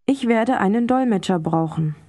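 A woman speaking example sentences slowly and clearly for a language course. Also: mid-range voice, animated, dark tone, no room sound, studio quality. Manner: slow, clear model pronunciation. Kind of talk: scripted language drill.

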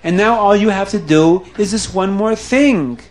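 A man's voice: high-pitched, moderate pace, a little hiss or hum, pitched above the performer's own voice, natural voice. A steadfast wife, as a male storyteller puts her on, soothing and firm. She encourages steadily and kindly. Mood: reassuring. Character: steady, reassuring wife, female.

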